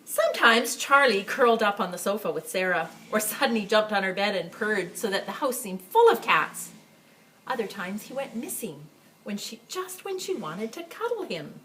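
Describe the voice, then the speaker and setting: A woman reads aloud in the style of a children's story time. Her phrasing is expressive and conversational, with animated rises and falls in pitch. There is some ambient noise in the recording. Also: moderate pace, rough recording. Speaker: story time reader for a school library. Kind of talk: read-aloud picture book storytelling for children.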